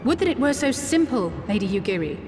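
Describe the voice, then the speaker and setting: An actress playing an earnest, cautious young woman strategist in a video game, speaking formally and with measured care. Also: fairly high pitch, big pitch swings, background noise. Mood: grave, cautioning. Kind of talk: dramatic video game voice acting. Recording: echoey hall-like reverb under music.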